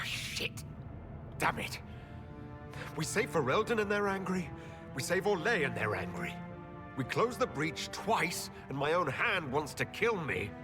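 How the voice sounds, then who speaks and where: An actor playing an embattled human leader in a video game, earnest and forceful, weary yet defiant. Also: male voice, sits high, full character voice. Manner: earnest, forceful, swears freely. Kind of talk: dramatic video game voice acting. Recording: music behind the voice.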